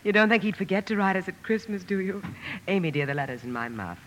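An actress playing a gentle middle-aged mother in a radio drama, tender and composed. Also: mid-range voice, expressive, quick pace, slight background noise, close to an everyday voice. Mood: affectionate. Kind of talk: 1950s radio drama acting. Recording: old 1950s radio broadcast recording with music.

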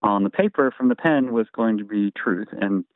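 A man's voice: lowish voice, moderate pace, no room sound. Easygoing man on a thin phone line. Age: 40s. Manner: easygoing and modest, chuckles often. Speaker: guest, singer and memoir author. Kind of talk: casual interview chat.

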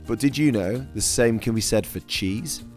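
Croaky-voiced male chef hosting a podcast. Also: lowish voice, normal speed, darkish tone, soft background music. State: croaky, losing his voice. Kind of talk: scripted podcast narration.

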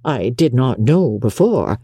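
An audiobook narrator voicing an eager, impulsive, courteous young gentleman from a period novel in an emphatic, earnest way. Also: mid-range voice, big pitch swings, slow pace, husky, no room sound, studio quality, full character voice. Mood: curious.

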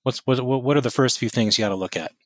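Male business interviewer, mid-pitched and congested from a cold, conversational, dry recording. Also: fast talker, dry recording. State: stuffed up with a head cold.

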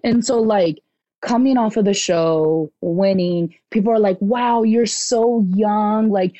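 A woman in her twenties, a podcast guest in casual chat, animated and swearing now and then as she acts out what people said. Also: mid-range voice, takes it slowly, dry recording, highs cut off. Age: 20s.

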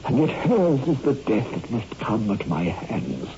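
An actor playing a tormented, brooding surgeon with agitated, melodramatic delivery in a 1960s radio horror drama. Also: male voice, fairly low pitch, huge pitch swings, measured pace. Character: tormented male surgeon obsessed with death at his hands. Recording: muffled, noisy old radio recording.

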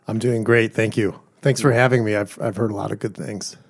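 A man guest-playing a tabletop game, telling a backstory in a halting way with many fillers. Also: on the low side, fairly even intonation, breathy edge. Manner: halting, hesitant, lots of fillers. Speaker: guest player. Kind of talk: casual in-character backstory.

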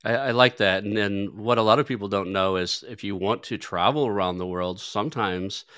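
A man's voice: lowish voice, normal speed, fairly bright tone, clear, resonant tone, no echo, studio quality. A man hosting a podcast, talking in a loose conversational way with frequent restarts. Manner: conversational, stumbles and restarts, uses fillers like "like". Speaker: podcast host. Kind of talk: podcast interview.

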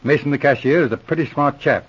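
Self-assured Mountie sergeant, muffled old radio. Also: male voice, on the high side, fast, slightly rough. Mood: dryly confident. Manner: probing, commanding, dryly teasing. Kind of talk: 1940s radio drama acting. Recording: muffled vintage radio recording.

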